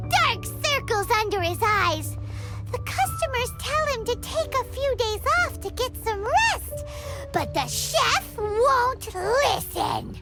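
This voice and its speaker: A squeaky, bubbly little fairy. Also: female voice, heavily acted. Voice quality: squeaky, bubbly, refers to herself by name. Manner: animated, exclamatory storytelling. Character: tiny chirpy fairy companion, childlike and excitable. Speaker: game character. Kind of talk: game cutscene voice acting. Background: game score music.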